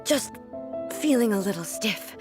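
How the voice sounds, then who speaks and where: An actress playing a noble, reserved female shogun in a video game, measured, polite and somewhat weary. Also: medium pitch, lively intonation, over music, close to an everyday voice. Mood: weary, stiff.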